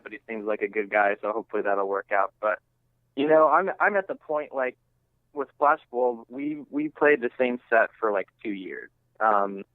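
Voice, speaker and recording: A man being interviewed as a musician, chatting casually and haltingly, often saying "like" and "you know". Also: mid-range voice, dry recording. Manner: halting and conversational, heavy use of "like" and "you know". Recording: thin phone-line sound.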